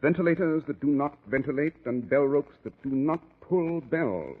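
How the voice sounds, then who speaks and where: An actor playing a shrewd, unruffled gentleman detective, precise and dryly witty, in 1940s radio drama style. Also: fairly high pitch, animated, normal speed, husky, phone-quality sound. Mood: keen, inquisitive. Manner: urbane, precise, dryly ironic. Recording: thin, band-limited 1940s radio recording.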